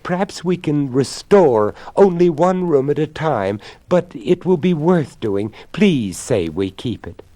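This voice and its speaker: Man voicing an eager wife, pitched up. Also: a little slow, few pauses, dry recording. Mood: delighted pleading. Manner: pleading, eager, excited. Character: eager, delighted young wife in a ghost story.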